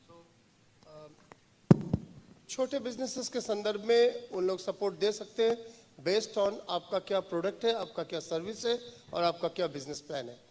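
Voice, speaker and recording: Man, Indian accent, panel moderator, fast talker, high voice. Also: background noise. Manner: rapid, switching between languages. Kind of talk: moderating a panel in mixed Hindi and English.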